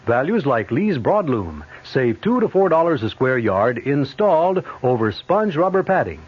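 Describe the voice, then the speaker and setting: Animated, husky male radio announcer. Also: a little high. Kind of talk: scripted ad and promo reads. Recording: dull old radio broadcast.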